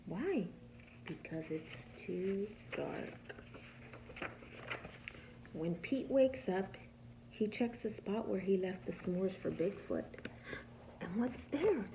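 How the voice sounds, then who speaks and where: Animated woman reading storytime to preschoolers. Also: medium pitch, takes it slowly, pauses often, a little hiss or hum, low-quality recording. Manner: animated, asks simple questions and answers them herself; patient, instructive, explains simply for small children. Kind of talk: expressive picture-book read-aloud with questions to young children.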